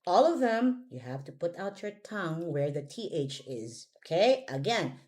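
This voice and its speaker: A woman's voice: on the low side, very animated, normal speed, darkish tone. A woman with a Filipino accent teaching pronunciation, giving short instructive prompts and corrections as she drills words.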